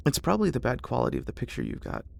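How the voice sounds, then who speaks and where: A man's voice: medium pitch, wildly swooping pitch, very fast, creaky, pitched above the performer's own voice, heavily acted. A man voicing an uneasy adult son who hesitantly placates his mother in an ASMR horror story. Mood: placating.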